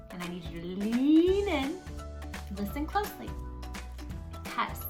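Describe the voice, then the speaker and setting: Very animated, high-voiced woman reading to children. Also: roomy sound. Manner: animated, affectionate, coaxing, speaks directly to young listeners. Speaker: children's story reader, tutor. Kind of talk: read-aloud storytelling for young children.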